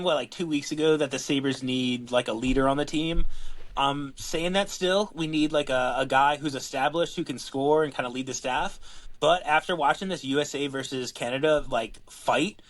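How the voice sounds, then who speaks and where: A man's voice: a little high, moderate pace, poor audio. A man co-hosting a podcast in casual chat, rambling and laid-back, swearing now and then. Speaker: co-host.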